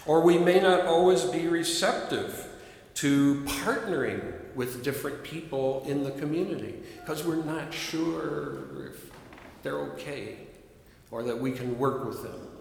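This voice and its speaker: Older midwest guy preaching slow and thoughtful, lots of long echo in the room. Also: a little high, vocal fry. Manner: reflective, measured, earnest. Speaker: minister. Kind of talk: sermon. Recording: echoey hall, long reverb.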